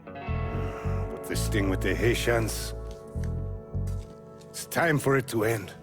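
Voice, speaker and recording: An actor playing a weary, protective older American neighborhood boss in a game, deliberate and authoritative. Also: male voice, lowish voice, huge pitch swings, gravelly, full character voice. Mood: grave, resolute. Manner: deliberate, commanding. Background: music.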